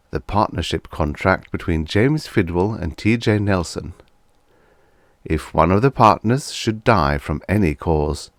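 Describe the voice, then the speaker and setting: A man's voice: low-pitched, dry recording. A man reading vintage fiction aloud as an audiobook narrator, in a scripted storytelling style.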